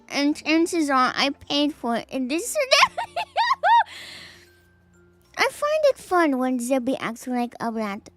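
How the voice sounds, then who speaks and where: Playful woman streamer reading fanfics out loud; high voice, unhurried, quick to giggle. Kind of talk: reading viewer fanfics aloud on a live stream.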